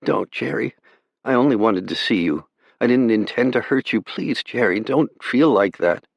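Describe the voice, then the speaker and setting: A man's voice: mid-range voice, animated, normal speed, vocal fry, no room sound, muffled recording, voice pushed up. A man voicing a frightened, brave teenage girl in an audiobook, pleading and tender. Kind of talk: audiobook character voice.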